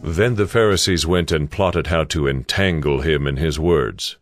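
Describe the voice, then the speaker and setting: A man narrating a dramatized Bible story in a solemn, measured, scripted style. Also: a bass voice, soft background music.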